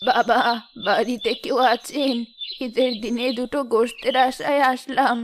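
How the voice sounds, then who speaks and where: A woman's voice: a little high, fairly even intonation, measured pace, slight background noise, natural voice. Woman voicing a plaintive old beggar grandmother. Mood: pleading. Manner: plaintive, blessing, imploring. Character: poor old beggar grandmother, pleading and grateful. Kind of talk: cartoon moral drama dialogue.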